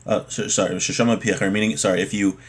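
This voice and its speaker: A man's voice: on the low side, steady tone, fast talker. A man giving an informal religious lesson, reading from a text and explaining it point by point, often rephrasing as he goes. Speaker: religious teacher.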